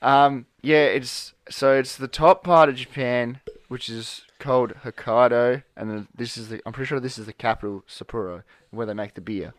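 A young man hosts a relaxed podcast chat. His voice is smooth and clear, and he rambles with lots of fillers and restarts. The sound is dry and close. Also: medium pitch, normal speed, few pauses, dry recording. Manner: rambling, hesitant, many fillers. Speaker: podcast host. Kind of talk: casual chat.

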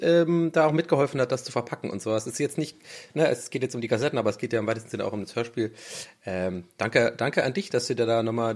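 Rambling, joking man, medium pitch. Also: a little mellow. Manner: rambling, joking, self-deprecating. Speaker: co-host. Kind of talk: casual chat.

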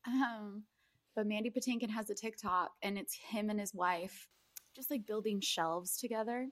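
A woman's voice: medium pitch, moderate pace, no echo. A woman co-hosting a podcast in casual chat, effusive and emphatic, often repeating herself. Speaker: podcast co-host. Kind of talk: casual, gushing film chat.

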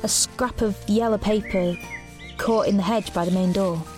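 An actress playing a defiant, protective teenage sister, blunt and sardonic, in an audio drama. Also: female voice, medium pitch, steady tone, normal speed, a little mellow, natural voice. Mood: reflective. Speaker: lead actor and narrator. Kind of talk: audio drama narration and dialogue. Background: background music.

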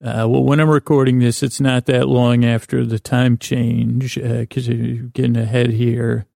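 A man with a creaky voice hosting a podcast, delivering a meandering, halting, self-deprecating bedtime monologue full of digressions and pauses. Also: medium pitch, level tone, mellow, no room sound, studio quality. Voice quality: creaky, gravelly. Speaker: podcast host.

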